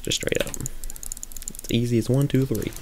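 A man's voice: medium pitch, fairly even intonation, measured pace, background noise. A young man in a small room, giving chatty, joking commentary while he plays a video game and thinks aloud. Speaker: streamer. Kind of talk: live gameplay commentary.

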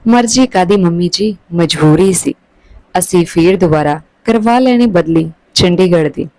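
Woman playing a polite daughter-in-law, mellow voice. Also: lowish voice, normal speed, dry recording, natural voice, low-quality recording. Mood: explaining. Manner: polite, deferential. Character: respectful, careful young married daughter-in-law.

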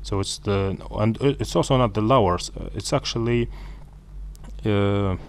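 A man whose first language is Arabic, walking through code in a screen-recorded programming tutorial, with frequent fillers and restarts. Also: on the low side. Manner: halting, many fillers and self-corrections.

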